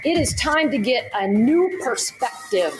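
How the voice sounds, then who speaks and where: A woman's voice: fairly high pitch, lively intonation, unhurried, poor audio. A woman speaking in an exasperated, indignant tone, heard as a short soundbite in a radio show intro. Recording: clip laid over a music bed.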